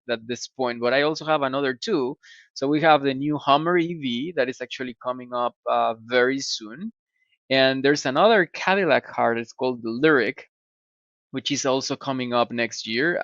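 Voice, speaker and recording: Gravelly Mexican-accented man on a call. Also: medium pitch, fairly bright tone, no room sound. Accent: Mexican Spanish. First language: Spanish. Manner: halting, many fillers and restarts. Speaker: guest, computer scientist. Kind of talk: casual interview answers. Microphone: video call. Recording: slightly dull video call audio.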